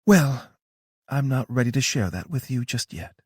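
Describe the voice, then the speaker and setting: A man reading an audiobook voices a composed woman recruiter who speaks in a calm, knowing way. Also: medium pitch, normal speed, breathy edge, dry recording, clean studio sound, voice pushed up.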